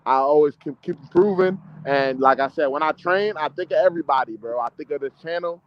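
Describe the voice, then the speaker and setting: A man's voice: fairly high pitch, brisk, highs cut off. A man in his mid-twenties with an American accent, an amateur boxer chatting casually in an interview in slangy, confident, repetitive phrases. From United States, DC-Maryland-Virginia area. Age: mid-20s. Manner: slangy, confident, emphatic, repeats himself.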